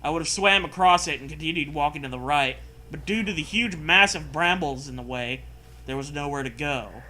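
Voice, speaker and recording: A man's voice: fairly high pitch, a little fast, bright, forceful tone, slight background noise. A man doing a mocking dramatic reading with casual riffing, sarcastic, profane and prone to hammy emphasis. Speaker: comedy co-host reading fan fiction aloud.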